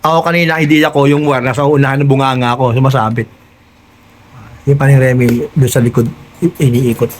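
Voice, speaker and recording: A man's voice: mid-range voice, flat delivery, fairly quick, slight background noise. A Filipino man hosting a casual hands-on tutorial vlog, chatting directly to his viewers. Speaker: vlogger hosting an unboxing and installation.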